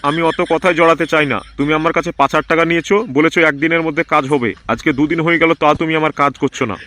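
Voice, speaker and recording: Guy voicing worried village dad in a cartoon, talks super fast, whiny and pushy, bit of noise. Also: on the high side, steady tone, sharp tone. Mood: insistent. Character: fretful village father chasing his owed money. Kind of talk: comedy cartoon dub.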